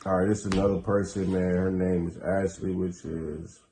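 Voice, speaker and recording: Casual American male prank host, lower-pitched, slangy and easygoing in delivery. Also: flat delivery, normal speed, dark tone, a little hiss or hum. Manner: slangy, loose, informal. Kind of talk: casual vlog chat and reactions.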